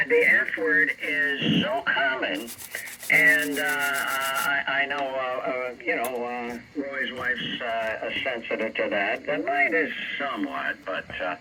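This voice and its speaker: A man's voice: medium pitch, sing-song, takes it slowly. An older American man chatting casually over shortwave amateur radio, telling rambling anecdotes. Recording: very noisy shortwave radio reception, heavy static.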